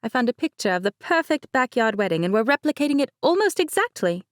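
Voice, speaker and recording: A bubbly young bride who gushes and teases in a high, bright lilt, as a woman puts her on. The voice sits well above a plain speaking tone and is recorded close and dry. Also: big pitch swings, quick pace, dry recording. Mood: teasing. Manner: gushing, teasing, bright.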